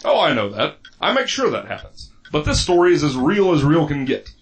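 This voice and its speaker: A man's voice: fairly high pitch, wildly swooping pitch, brisk, vocal fry, heavily acted. A man playing an eccentric, vain and greedy mayor in a comedic audio drama, pompous, wordy and theatrical. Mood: scheming, confident.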